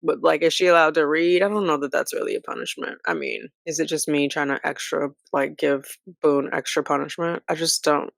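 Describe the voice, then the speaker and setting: A woman's voice: sits low, lively intonation, brisk, gravelly, hardly any pauses, dry recording. An American woman hosting a commentary channel, reading reports dramatically and breaking into sassy, conversational asides.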